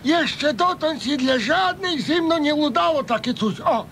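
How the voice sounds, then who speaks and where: Radio comedy: blustering old pensioner who scorns women, very high creaky voice, Podlasie accent. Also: male voice, animated, measured pace, fairly bright tone, exaggerated voice. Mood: scornful, grumbling. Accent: eastern Polish, Podlasie regional. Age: older. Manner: folksy, blustering, opinionated. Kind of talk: radio comedy serial acting. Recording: rumbly, noisy radio recording.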